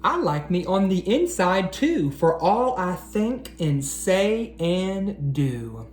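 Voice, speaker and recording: A man reading aloud to children like an educator, with an expressive, encouraging manner that speaks straight to them. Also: sits high, takes it slowly. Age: adult. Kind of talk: animated children's read-aloud. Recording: roomy echo, faint background noise.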